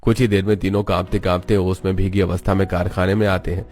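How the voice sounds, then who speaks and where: A man's voice: low-pitched, level tone, fast, darkish tone, faint music behind. A man narrating a scripted cartoon story.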